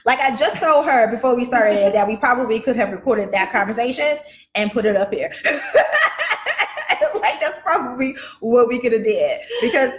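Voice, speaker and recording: A woman's voice: on the high side, expressive, moderate pace, slight background noise. A woman in her thirties hosting a podcast, chatting enthusiastically and affirming her guest, at a desk mic in an office room. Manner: enthusiastic, affirming with frequent mm-hmms. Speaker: podcast host. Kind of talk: casual interview chat. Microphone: desk microphone. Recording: echoey room, faint music.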